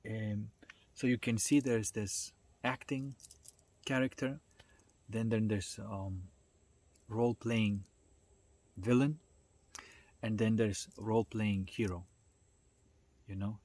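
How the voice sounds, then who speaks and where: A man giving a casual, unscripted philosophical talk in a halting, reflective way, thinking aloud and pausing often. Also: fairly low pitch, steady tone, a little mellow, no room sound. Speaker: spiritual self-development speaker.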